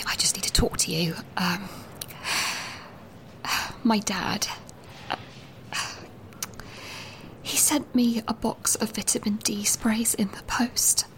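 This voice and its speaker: Picture a fretful young student, played by a woman, her pitch rising and falling as she rambles and restarts. She starts close to a whisper. Her voice rings off the hard walls of a small toilet, with faint sounds behind her. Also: very animated, long reverb tail, slight background noise. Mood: anxious whisper. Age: young adult. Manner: rambling, halting, with many restarts; whispering at first. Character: anxious, rambling young female student, second year. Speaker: university student leaving a voice note. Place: small echoey toilet room.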